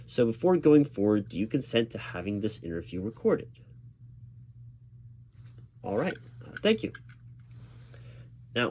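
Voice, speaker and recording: A man's voice: lowish voice, a little mellow, a little hiss or hum. A man performing a one-sided spoken ASMR roleplay as a recruiting manager, reassuring and patient, with formal corporate phrasing.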